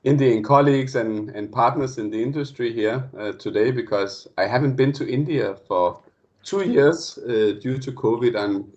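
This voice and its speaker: A man's voice: medium pitch, normal speed, darkish tone. Friendly man on a dull video call. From Denmark. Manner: friendly, hesitant, many fillers and restarts. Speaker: guest speaker. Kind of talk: casual opening of an online lecture. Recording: dull lo-fi online call audio.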